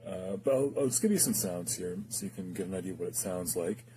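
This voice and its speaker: Low-pitched man, halting casual demo talk. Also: lively intonation, warm tone, slight background noise. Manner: hesitant, halting, thinking aloud. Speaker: gear reviewer demonstrating a guitar effects pedal.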